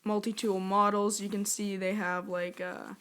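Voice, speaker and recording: Hoarse, flat-voiced woman rambling through a review. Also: medium pitch, moderate pace, background noise. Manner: rambling, chatty, self-aware. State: hoarse, scratchy from a sore throat. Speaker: product reviewer. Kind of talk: casual unboxing review.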